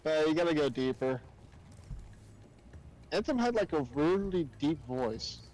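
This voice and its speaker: A man's voice: a little high, very animated, a little slow, darkish tone, low-quality recording. A man chatting casually as a guest on a game livestream. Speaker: guest co-streamer. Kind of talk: casual chat. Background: faint game music.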